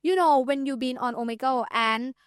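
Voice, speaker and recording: A woman's voice: sits high, moderate pace, clean tone, no room sound, studio quality. A young Thai woman with a Thai accent, a guest chatting casually and loosely, full of "like" and "you know". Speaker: guest, TikTok creator. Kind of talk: casual interview chat.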